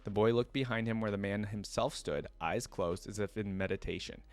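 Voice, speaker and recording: A man's voice: on the low side, a little fast. A man hosting a reaction video, reading a fantasy story aloud and breaking off for casual comments.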